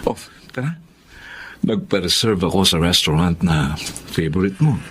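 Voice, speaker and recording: An actor playing a two-faced, domineering young Filipino boyfriend in a radio drama. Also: male voice, low voice, expressive, measured pace, a bit bright, a little hiss or hum. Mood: sweet, smooth. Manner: domineering, swinging from smooth to menacing. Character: two-faced abusive young boyfriend, sweet-talking then bullying.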